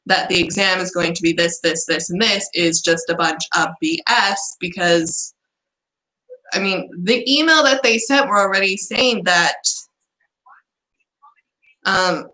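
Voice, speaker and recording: Chatty young woman, bright voice. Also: lowish voice, echoey room, poor audio. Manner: frustrated, rambling rant. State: losing her voice. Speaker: exchange student vlogger. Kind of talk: casual vlog chat.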